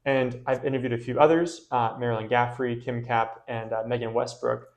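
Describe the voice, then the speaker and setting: A man's voice: medium pitch, fairly even intonation, fairly quick, a little mellow. A man, a parish priest, hosting a casual interview, friendly and hesitant with many fillers.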